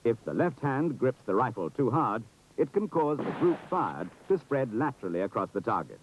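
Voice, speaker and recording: A man's voice: medium pitch, big pitch swings, normal speed, noisy background. A British man giving formal, precise, authoritative scripted narration for an instructional film. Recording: dull, muffled 1970s film soundtrack.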